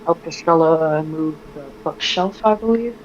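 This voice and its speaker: A woman's voice: low-pitched, measured pace. A woman chatting casually as a player in a tabletop game. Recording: dull lo-fi mic, faint noise.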